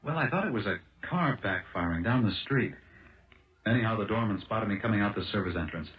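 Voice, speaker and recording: A man acting in a 1970s radio drama as an impulsive, fast-talking American car salesman, earnest and halting as he protests his innocence. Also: on the low side, expressive, close to an everyday voice. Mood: regretful, pleading. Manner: halting, earnest, restarts phrases. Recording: dull lo-fi old radio broadcast.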